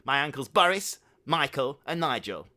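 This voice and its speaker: A man's voice: mid-range voice, big pitch swings, normal speed, sharp tone, pitched above the performer's own voice. A man voicing a spoiled, whiny teenage son, petulant and sulky. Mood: defiant. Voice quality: whining, petulant.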